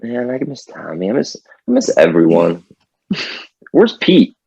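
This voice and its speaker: Low-voiced man in his thirties, rambling. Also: wildly swooping pitch, unhurried, vocal fry, mellow, husky, no echo, muffled recording. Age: 30s. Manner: rambling, laughs often, many likes and restarts. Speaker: podcast guest, band member. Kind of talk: casual chat.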